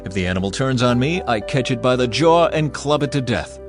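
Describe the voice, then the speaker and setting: A man's voice: mid-range voice, animated, fairly quick, over music, close to an everyday voice. A man voicing a bold, earnest young shepherd who speaks with confidence. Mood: confident.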